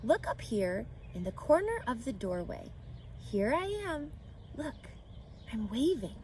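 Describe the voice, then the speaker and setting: Storybook female spider, played by a woman narrator: composed, well-spoken, kindly and sure of herself, measured pace, some noise behind. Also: on the high side, sing-song. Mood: composed, friendly. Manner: composed, articulate, gently matter-of-fact. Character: refined, kindly, self-assured female spider. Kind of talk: children's storybook read-aloud.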